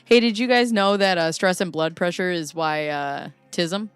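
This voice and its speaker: A woman's voice: fairly low pitch, normal speed, clear, resonant tone, faint music behind. A woman podcast co-host chatting casually with wry, joking asides. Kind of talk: casual banter.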